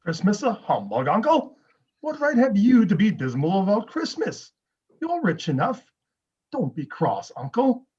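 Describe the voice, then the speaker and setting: Man as high-voiced cheerful nephew. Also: huge pitch swings, measured pace, darkish tone, husky, heavily acted. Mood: cheerful, earnest. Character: Fred, cheerful, good-hearted nephew of Scrooge. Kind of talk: amateur dramatic reading. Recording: dull lo-fi video-call audio.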